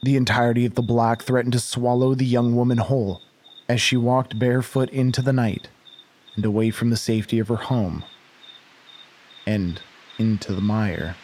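A man narrating a scripted horror audio drama like a folksy storyteller, in a deliberate way. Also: medium pitch, fairly even intonation, darkish tone.

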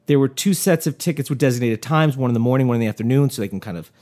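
Man, hoarse, casual podcast co-host, rambling delivery. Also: medium pitch, lively intonation, rapid-fire, a little mellow. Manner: rambling with fillers and restarts. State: hoarse, losing his voice. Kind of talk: casual history podcast chat.

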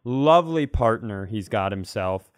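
A man's voice: lowish voice, animated, measured pace, no echo, clean studio sound. A man co-hosting a comedy podcast in casual conversation, rambling through wry anecdotes and speculation.

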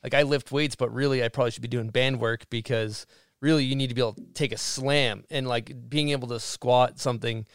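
Jokey podcast host man, gravelly. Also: medium pitch, fairly even intonation, a little fast, dry recording, clean studio sound. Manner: jokey, rambling, bro humor. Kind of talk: casual chat.

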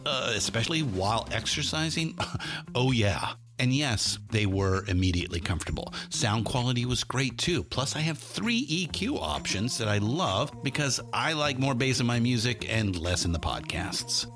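A man reading a podcast ad in a chatty, conversational way with informal asides. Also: medium pitch. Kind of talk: conversational ad read. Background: music.

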